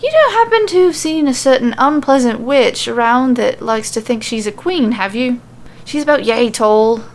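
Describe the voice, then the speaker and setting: A woman's voice: a little high, lively intonation, normal speed, darkish tone. A woman voicing a sheepish, wounded woman wanderer in an audio story, rambling and justifying herself. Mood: defensive.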